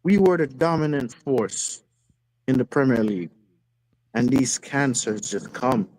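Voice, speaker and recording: A man's voice: medium pitch, expressive, unhurried, a little mellow, dry recording, poor audio. A man calling in to a football fan stream, speaking casually but with passion and repeating phrases for emphasis. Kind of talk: impassioned fan rant, casual. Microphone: phone, video call.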